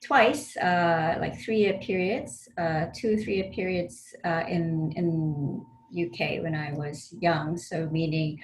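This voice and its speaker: A woman's voice: lowish voice, fairly even intonation, slow pace, few pauses, a little hiss or hum, poor audio. A woman from Korea with a slightly British-tinged accent, telling her story conversationally as an interview guest from her home. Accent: slightly British-tinged English. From South Korea. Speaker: in-house counsel. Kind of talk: interview guest on a video call. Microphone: video call.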